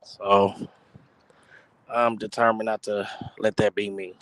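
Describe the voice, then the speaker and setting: An American man in his thirties chatting casually in heavy slang, bantering with an animated, theatrical air of protest. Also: on the low side, takes it slowly. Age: 30s. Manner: animated, dramatic mock protest.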